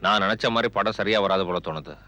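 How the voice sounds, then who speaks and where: Snappish film producer husband, TV drama: quick delivery, bright tense voice, defensive. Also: male voice, lowish voice, dry recording. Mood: frustrated. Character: irritable, stressed male film producer and husband. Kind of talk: Tamil television serial acting.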